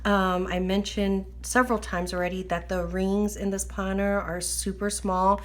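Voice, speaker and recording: A woman's voice: mid-range voice, level tone, moderate pace. Chatty woman, slightly wheezy voice. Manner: chatty, filler-heavy, apologetic. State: recovering from illness, slight wheeze. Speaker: planner vlogger. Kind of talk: casual chat. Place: at a table indoors.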